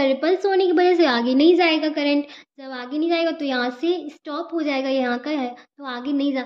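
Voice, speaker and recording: High-voiced young woman teaching. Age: young adult. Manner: repetitive, asks and answers own questions. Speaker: physiotherapy student giving a lesson. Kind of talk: informal whiteboard lecture, explaining step by step. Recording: echoey room, dull lo-fi sound.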